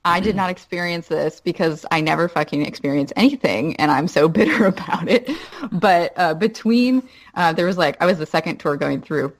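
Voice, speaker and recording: Female podcast guest, slightly low pitch, loose conversational storytelling, halting and informal. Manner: halting, peppered with "like", swears casually. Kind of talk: casual chat, personal anecdote.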